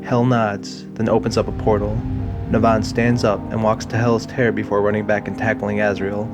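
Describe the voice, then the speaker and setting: Low-pitched male drama narrator. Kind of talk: scripted audio drama narration.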